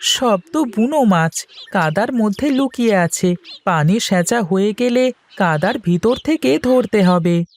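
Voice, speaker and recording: A woman's voice: medium pitch, animated, a little slow, a little mellow, a little hiss or hum. A woman voicing a polite, eager young village boy in a cartoon, with an earnest manner. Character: young village boy, eager, respectful, mud-covered fisher. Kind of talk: cartoon voice acting.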